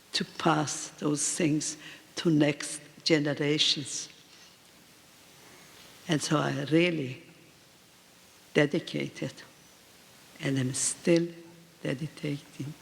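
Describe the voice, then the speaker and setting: A woman in her eighties, a native Polish speaker, speaking in slow, halting short phrases as a guest at a live talk in a reverberant hall. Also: low voice, fairly even intonation, gravelly. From Poland. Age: 80s. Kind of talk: answering on stage in a live talk. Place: event hall with audience.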